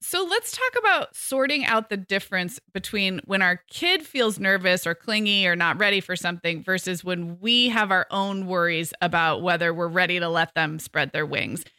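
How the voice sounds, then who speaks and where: A woman's voice: fairly low pitch, animated, moderate pace, hardly any pauses, pushed and bright, smooth, no room sound. A woman co-hosting a parenting podcast, encouraging and affirming her partner's stories. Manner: encouraging, frequent affirming backchannels. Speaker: podcast co-host. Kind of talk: casual conversational chat.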